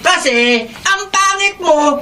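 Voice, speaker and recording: An actress playing a mean, mocking woman neighbor in a Filipino radio drama, with a jeering, drawn-out delivery. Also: very high-pitched, lively intonation, recorded from a distance, heavily acted. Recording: off-mic, faint background noise.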